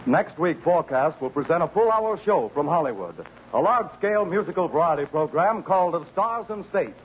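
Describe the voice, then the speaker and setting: 40s radio network announcer guy, formal, high voice, muffled noisy old recording. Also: lively intonation, a little fast.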